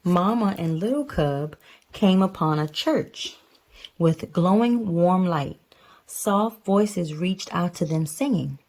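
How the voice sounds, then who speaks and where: A woman with a slightly low, soft-edged mellow voice, speaking as a mother at storytime. She moves between reading a picture book aloud and explaining it patiently in simple words. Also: lively intonation, slow. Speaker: mother reading to her child. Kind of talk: picture book read aloud with casual chat.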